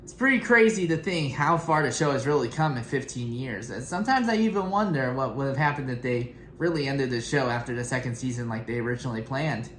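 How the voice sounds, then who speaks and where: Very low-voiced young woman, casual reactor. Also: animated, fairly quick, few pauses, a little hiss or hum. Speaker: reaction video host. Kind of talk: casual unscripted reaction commentary. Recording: roomy echo, clear music behind. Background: music from the intros being watched.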